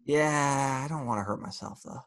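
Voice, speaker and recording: A man in a casual chat among friends as a podcast co-host, talking haltingly and often repeating himself. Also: medium pitch, noisy background.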